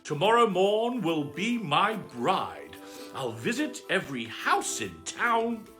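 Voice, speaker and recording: A hot-tempered, bloodthirsty young storybook prince, acted out by a man. The voice rises high and forceful, with theatrical swoops all through it. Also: wildly swooping pitch, slow, soft background music, full character voice. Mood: dramatic, lovestruck.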